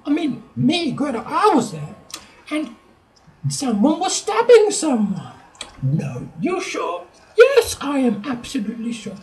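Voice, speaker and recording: A woman's voice: high-pitched, wildly swooping pitch, a little slow, a little mellow, heavily acted. A woman playing Greta, a fussy, dramatic elderly English lady with a put-on posh manner in a comedy sketch. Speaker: sketch presenter.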